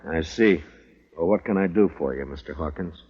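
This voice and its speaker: A man's voice: low voice, normal speed, slightly rough. An American man acting a stern, weary frontier US marshal in a 1950s radio western, terse and authoritative. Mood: weary, curt. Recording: dull old radio drama recording.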